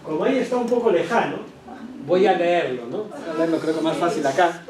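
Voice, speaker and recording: A man gives an animated lecture to teachers, asking the room rhetorical questions and adding quick asides to the audience. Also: a little high, a crowd in the background. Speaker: education speaker at a teachers' workshop. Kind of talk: lecture presentation. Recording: echoey hall, distant mic, background noise.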